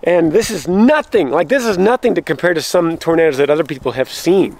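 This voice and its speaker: A man filming a walking vlog outdoors in rural woods on a handheld camera, talking excitedly to viewers and breaking off mid-sentence. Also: fairly high pitch, brisk, slight background noise. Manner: excitable, breaks off and restarts, addresses viewers as guys. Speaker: vlogger showing storm damage. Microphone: handheld camera while walking.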